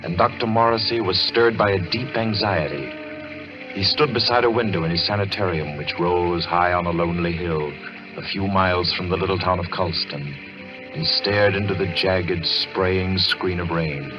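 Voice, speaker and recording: An actor playing a solemn, ominous storyteller, narrating a radio suspense tale in a dramatic, deliberate manner. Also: male voice, sits low, natural voice. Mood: grave, suspenseful. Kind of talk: old-time radio scripted narration. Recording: muffled vintage radio recording with music.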